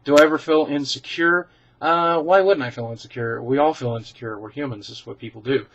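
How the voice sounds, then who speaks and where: A man's voice: mid-range voice, a little fast, fairly bright tone, dull audio. A man vlogging casually, answering viewer questions in a chatty, self-deprecating way full of ums and restarts. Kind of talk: casual rambling Q and A.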